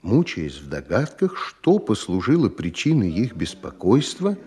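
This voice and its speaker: A man narrating a classic studio radio play, setting the scene in a measured, descriptive storytelling way. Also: fairly low pitch, wildly swooping pitch, warm tone.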